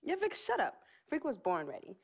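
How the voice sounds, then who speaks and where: A woman's voice: on the high side, huge pitch swings, brisk, vocal fry, husky, exaggerated voice. An actress playing a sassy, teasing young woman who bosses her twin brother around in a sports game cutscene. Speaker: twin sister.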